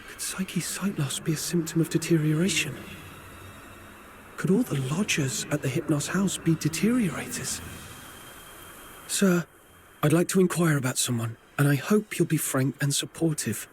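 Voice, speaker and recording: An actor playing a calm, inquisitive young male investigator in a video game, pressing for answers. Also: a little high, moderate pace, slight background noise, close to an everyday voice. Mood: curious, pondering. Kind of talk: video game character dialogue.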